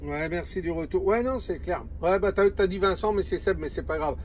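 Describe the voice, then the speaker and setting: A man chatting casually over CB radio from inside a parked vehicle, often repeating his phrases in a halting way. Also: sits high, a little hiss or hum. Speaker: CB radio hobbyist filming a contact.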